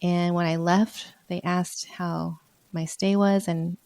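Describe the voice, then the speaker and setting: A woman hosting a solo podcast, speaking in an earnest, encouraging way, her voice slightly hoarse from a sore throat. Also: fairly low pitch, level tone, slow pace, darkish tone, no echo. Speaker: podcast host.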